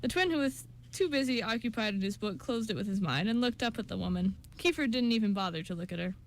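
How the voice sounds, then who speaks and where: A woman's voice: medium pitch, lively intonation, fast, slight background noise, low-quality recording. A woman reading scripted story narration for an amateur radio show, with an occasional stumble.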